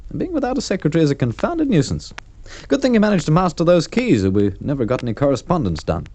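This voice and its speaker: A man's voice: on the high side, sing-song, fast talker, a little mellow. A man playing a genial, apologetic, slightly flustered business partner in a mid-century radio drama. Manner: chatty, apologetic. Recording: noisy vintage radio recording.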